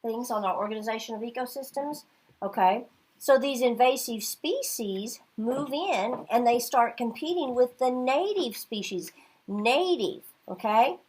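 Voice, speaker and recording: Middle-aged oklahoma teacher lady, kinda husky, keeps asking okay?. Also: fairly high pitch, expressive, a little slow, a little mellow. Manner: chatty, checks in with "okay?" often. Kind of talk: classroom teaching.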